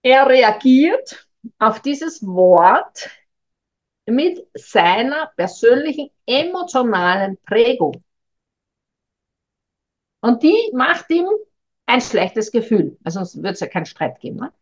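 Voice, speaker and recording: A woman's voice: fairly high pitch, sing-song, slow, long pauses. Middle-aged woman, thoughtful coaching talk. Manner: thoughtful, hesitant, addresses listener directly. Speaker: communication coach. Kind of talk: reflective advice monologue. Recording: dull lo-fi recording.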